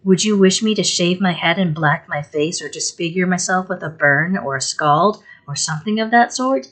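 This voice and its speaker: A woman reading aloud and voicing a pretty young widowed mother who is tearful and easily hurt, pleading in a high, rising voice. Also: lively intonation, normal speed, natural voice. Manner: plaintive, pleading, rising in distress.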